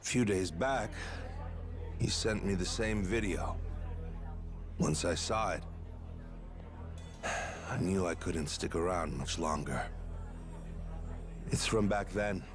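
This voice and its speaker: An actor playing a weary, guilt-ridden tough man in a video game cutscene, speaking in a halting, heavy way. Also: low-pitched, over music. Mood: regretful, confessing. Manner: slow, halting, heavy delivery.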